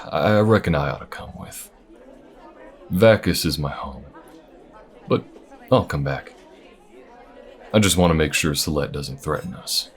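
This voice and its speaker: Man acting a very deep-voiced, folksy alien bounty hunter. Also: gravelly, full character voice. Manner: folksy drawl-like phrasing, teasing, unhurried. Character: easygoing male alien bounty hunter, wry, folksy frontier companion. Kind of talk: audio roleplay addressed to the listener. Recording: restaurant chatter behind. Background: restaurant crowd ambience.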